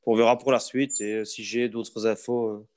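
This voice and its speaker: A football journalist from Belgium with a Belgian French accent, chatting casually on a video call, relaxed and rambling with restarts. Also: male voice, on the low side, moderate pace.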